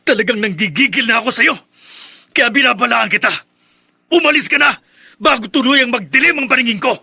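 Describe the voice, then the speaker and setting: Radio drama casting: a furious young Filipino son, high forceful voice, husky edge, accusing and seething. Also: male voice, fairly quick, no echo, studio quality, heavily acted. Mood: furious, threatening. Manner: melodramatic, seething, confrontational. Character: angry young adult son, bitter and accusing.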